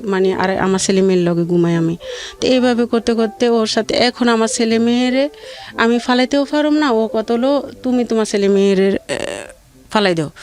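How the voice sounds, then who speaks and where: A woman telling her life story in a plaintive, rambling way with halting repeats. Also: on the high side, darkish tone. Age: adult mother of two. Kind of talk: personal hardship testimony in conversation.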